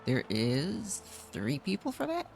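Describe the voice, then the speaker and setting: A man's voice: fairly high pitch, wildly swooping pitch, takes it slowly, creaky. A man doing casual gameplay commentary as a streamer, thinking aloud and muttering to himself in short fragments. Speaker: gaming streamer. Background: background music.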